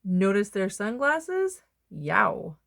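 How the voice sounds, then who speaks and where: Woman, children's read-aloud, lively swooping pitch, expressive emphasis, dramatic pauses. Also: creaky. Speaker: storybook reader.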